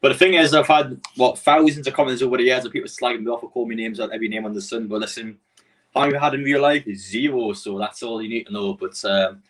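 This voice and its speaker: Fast-talking Geordie lad on a live stream. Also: male voice, mid-range voice, fairly bright tone, far from the mic, low-quality recording. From Newcastle. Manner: chatty, rambling, answers chat messages. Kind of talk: casual live-stream chat.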